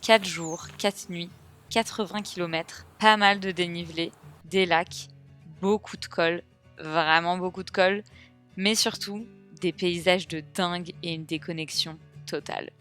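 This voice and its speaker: A woman's voice: fairly low pitch, steady tone, a bit bright, soft background music. Young woman, friendly narrating voice. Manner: measured, friendly storytelling. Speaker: vlogger narrating a hiking trip. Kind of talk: scripted voice-over narration. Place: voice-over, not recorded on the trail.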